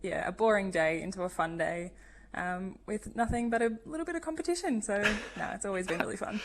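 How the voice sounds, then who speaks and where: A woman's voice: mid-range voice, fairly quick, noisy background. A young Australian woman speaking casually as a bride being interviewed. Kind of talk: casual chat.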